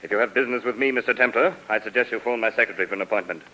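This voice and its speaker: A man's voice: fairly low pitch, very fast, pushed and bright. An actor playing an indignant, self-important wealthy businessman, brusque and officious, in 1950s radio drama style. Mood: brusque, impatient. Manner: blustering, stammering when flustered, officious. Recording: noisy old radio recording.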